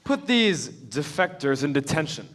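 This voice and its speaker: Guy reading a cocky teen king, voice jumping all over, kinda high. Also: very animated, a little slow, fairly bright tone, a faint crowd, a little hiss or hum. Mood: swaggering. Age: young adult. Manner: grandiose, swaggering. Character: bossy teenage boy student posing as king. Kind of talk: staged musical reading.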